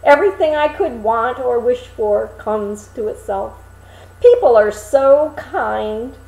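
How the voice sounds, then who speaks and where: A woman's voice: fairly high pitch, slow, vocal fry, a little mellow, roomy sound, low-quality recording. A woman voicing a cheerful, naive young man in a storybook reading, eager and guileless. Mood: overjoyed.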